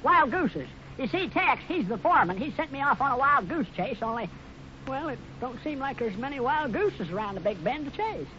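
A man's voice: very high voice, sing-song, normal speed, bright, forceful tone, heavily acted. A man playing a skinny, dim-witted, prankish ranch hand with a folksy, boastful Western American drawl in a 1950s radio comedy. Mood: earnest, clueless. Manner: folksy, boastful, gleeful, gullible. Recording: noisy, muffled old radio recording.

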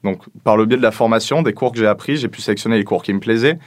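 Man, twenties, from southern France, fairly low pitch, informal interview talk, dry close recording. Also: fast, no room sound. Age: 20s. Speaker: business school student interviewee.

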